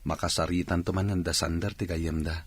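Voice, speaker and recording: A man playing a polite, modest village bachelor in a radio drama, with a Filipino accent and an unhurried way of talking. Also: low-pitched, flat delivery, dry recording. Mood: polite, amiable. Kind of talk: Ilocano radio drama acting.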